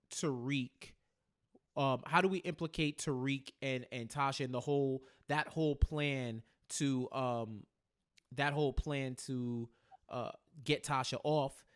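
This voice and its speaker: A man co-hosting a casual recap chat, speaking in a halting way and repeating phrases as he thinks aloud. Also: a little high, fairly bright tone, clean tone. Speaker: podcast co-host.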